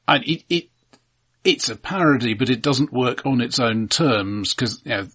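A man with a husky, slightly rough voice at a middling pitch co-hosts a casual film discussion. He sounds analytical, picking his way through each point with some hesitation. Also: expressive, normal speed, fairly bright tone. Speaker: film podcast co-host.

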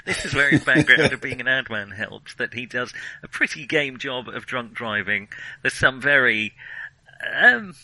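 Very bright, crisp-toned man, close and dry. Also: medium pitch, expressive, normal speed, dry recording, rough recording. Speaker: film podcast co-host. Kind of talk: casual film discussion.

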